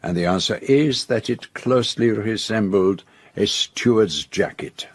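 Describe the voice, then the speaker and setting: An actor playing a confident, methodical detective in a radio drama, speaking formally and deliberately as he lays out a case. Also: on the low side, slightly rough, dry recording. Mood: assured. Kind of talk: radio drama acting, detective's denouement monologue.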